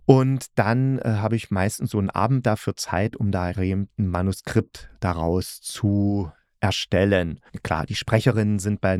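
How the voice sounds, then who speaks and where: A man's voice: low voice, a little mellow, no room sound, studio quality. A man hosting a podcast, reviewing in a casual, chatty way and breaking into his own sentences with asides. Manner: chatty, self-interrupting asides. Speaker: podcast host reviewing audio dramas.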